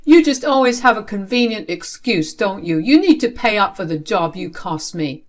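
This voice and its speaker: A woman's voice: lowish voice, sing-song, normal speed. A woman voicing an angry, unfair father in a dramatized story, speaking in a stern, accusatory way. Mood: angry, accusing.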